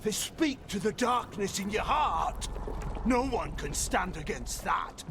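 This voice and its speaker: A man's voice: sits high, animated, slow, gravelly, music in the background, full character voice. A man playing a desperate, frightened villager in a fantasy game, haunted and overwrought. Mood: panicked, pleading. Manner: pleading, overwrought.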